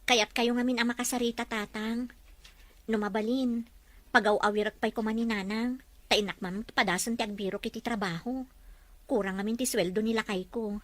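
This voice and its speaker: A woman's voice: fairly high pitch, fairly even intonation, normal speed, no echo, natural voice. A woman playing a troubled, patient but questioning young wife and mother in a family melodrama. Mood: earnest. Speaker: actor. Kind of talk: melodrama dialogue.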